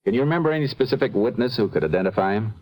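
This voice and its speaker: An actor playing a stern, businesslike American police detective in a vintage radio crime drama, terse, matter-of-fact and persistent. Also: male voice, lowish voice, expressive, racing, darkish tone, dry recording, close to an everyday voice. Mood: probing.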